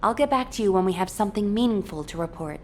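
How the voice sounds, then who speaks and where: An actress playing a thoughtful, earnest researcher giving a measured, formal briefing in a video game. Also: female voice, medium pitch, expressive, a little hiss or hum, close to an everyday voice. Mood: grave, pensive.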